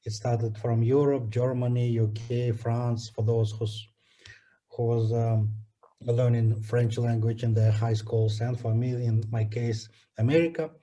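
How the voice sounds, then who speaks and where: A middle-aged male interview guest with a Kazakhstani accent and a soft, dark tone. He talks at a medium pitch, measured and phrase by phrase, as he answers conversationally. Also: steady tone, low-quality recording. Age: 40s. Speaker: interview guest, energy executive.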